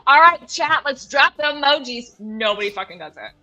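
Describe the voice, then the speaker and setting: Bright, upbeat woman co-host. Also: high-pitched, expressive, dull audio. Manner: upbeat, reads viewer comments aloud, quick apologies. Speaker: podcast co-host. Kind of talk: casual live-stream chat.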